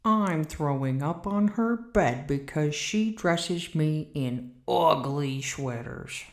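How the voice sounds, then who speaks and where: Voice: mid-range voice, lively intonation, slow pace, reverberant. An actor voicing a grumpy, haughty talking house cat in an audio drama, snide and indignant. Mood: disgruntled. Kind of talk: audio drama character acting.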